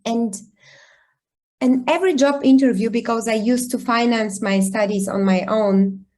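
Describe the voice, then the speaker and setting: Brief: woman, a bit higher pitched, candid storyteller, hesitant ums, video call sound. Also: a little mellow. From Bulgaria. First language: Bulgarian. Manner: reflective, thoughtful, with frequent pauses and ums. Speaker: guest speaker, founder. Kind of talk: candid personal storytelling in an online talk.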